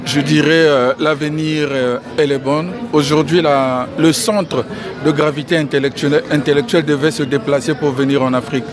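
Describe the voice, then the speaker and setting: Congolese man, interviewee, measured delivery, slightly high voice, loud crowd around. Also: fairly even intonation. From Republic of the Congo. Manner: measured, repeats key phrases. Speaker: interviewee, financial engineering expert. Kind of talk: outdoor press interview. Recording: crowd noise behind.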